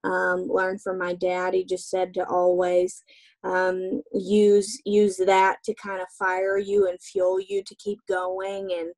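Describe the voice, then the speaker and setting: A young adult woman answering interview questions over a video call, chatty and relaxed, with lots of fillers. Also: lowish voice, takes it slowly, low-quality recording. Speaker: guest, singer. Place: small room indoors. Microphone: webcam on a video call.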